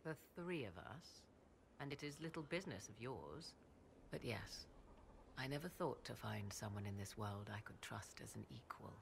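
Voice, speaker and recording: Haughty sorceress with creaky voice. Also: female voice, very deep voice, lively intonation, moderate pace, background noise, full character voice. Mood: dry, sardonic. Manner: archaic, formal, haughty diction. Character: proud, sardonic sorceress, devoted mother and lover. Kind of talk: dramatic video game dialogue.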